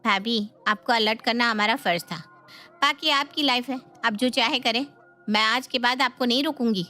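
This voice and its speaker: A sharp-witted young sister-in-law from a family storybook, as a woman acts her out. She has a highish voice and explains things in a knowing, pointed manner. Music runs behind the speech. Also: moderate pace. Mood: cautioning. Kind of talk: dramatised Hindi family storybook.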